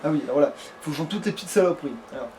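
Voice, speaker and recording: A man's voice: on the high side, racing, warm tone, a little hiss or hum, low-quality recording. A man chatting casually as a let's player, in a crude, slangy, grumbling way. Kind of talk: casual gaming chat.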